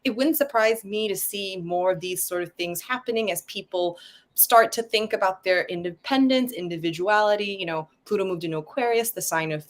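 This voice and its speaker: A woman's voice: mid-range voice, brisk. A woman from Malaysia, a guest on a casual podcast over a video call, explaining things step by step and listing terms one by one. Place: home in a city, sirens outside.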